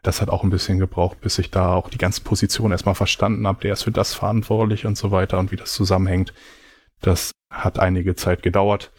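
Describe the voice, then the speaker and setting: A man's voice: low-pitched, little pitch movement, fast talker, darkish tone, slightly rough, dry recording. A man giving reflective, explanatory answers in a casual conversational interview. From Germany. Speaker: interview guest.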